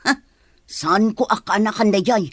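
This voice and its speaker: A woman acting in a radio drama as a resentful, sharp-tongued aunt with a blunt, complaining manner. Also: mid-range voice, moderate pace, no echo, studio quality, close to an everyday voice. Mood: brusque. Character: resentful, sharp-tongued aunt, jealous of her sibling's child.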